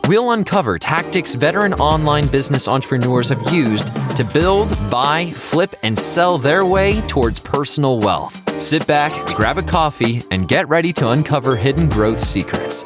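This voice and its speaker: A man reading a scripted podcast intro with an energetic, punchy announcer delivery. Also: a little high, moderate pace, hardly any pauses. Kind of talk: scripted show intro over music. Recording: intro voice over clear music. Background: music bed.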